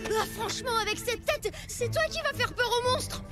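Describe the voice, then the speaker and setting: A woman voicing an anxious, excitable young boy in a dubbed cartoon, with an urgent, jittery delivery. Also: very high-pitched, moderate pace. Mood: alarmed. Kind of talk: animated series dubbing. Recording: music playing behind.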